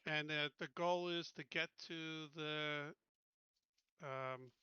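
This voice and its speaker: A man playing a tabletop game, casually planning moves in a halting, practical way. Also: fairly high pitch, steady tone, bright, forceful tone, clear, resonant tone, no room sound, lo-fi sound.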